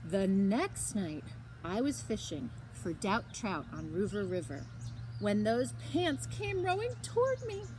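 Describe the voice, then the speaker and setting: A woman reading a children's story aloud expressively, with dramatic emphasis and drawn-out words, like a librarian at story time. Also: medium pitch, very animated, a little mellow, slight background noise, low-quality recording.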